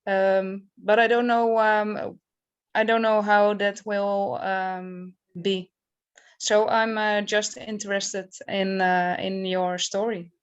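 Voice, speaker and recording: A woman's voice: mid-range voice, little pitch movement, takes it slowly, clean tone, dull audio. A woman, an occupational therapist introducing herself on a video call with many hesitant ums.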